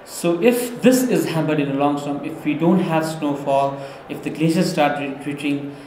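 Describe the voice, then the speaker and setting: Earnest man, slightly high voice. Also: normal speed, a little mellow, a little hiss or hum. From Nepal. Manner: earnest, restarts words often. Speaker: government delegate. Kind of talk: interview. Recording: echoey room.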